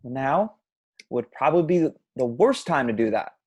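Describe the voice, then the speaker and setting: A man's voice: mid-range voice, lively intonation, normal speed, darkish tone, husky, dull audio. Man playing a bitter young man. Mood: frustrated. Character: bitter, frustrated young man, grieving estranged son. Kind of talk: amateur at-home table read of a film script.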